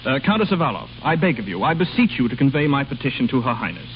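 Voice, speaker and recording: Actor as eager, florid American waiter, old radio. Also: male voice, medium pitch, big pitch swings, fast talker, close to an everyday voice. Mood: pleading. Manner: florid, pleading, servile politeness. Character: earnest, clumsy, ardently flattering American hotel waiter. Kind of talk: 1930s-40s radio comedy acting. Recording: dull, noisy old radio broadcast.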